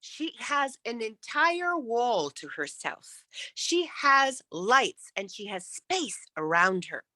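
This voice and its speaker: A woman's voice: fairly high pitch, very animated, slow pace, pushed and bright, no echo. A woman coaching public speaking in an interactive lesson, encouraging and emphatic as she demonstrates.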